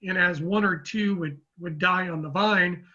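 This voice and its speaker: A man in his fifties from Oakland, a guest telling his story in a rambling, measured way with many restarts. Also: high voice, sharp tone, muffled recording. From Oakland, California, US. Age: 50s. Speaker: guest, real estate economist. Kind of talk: casual interview talk.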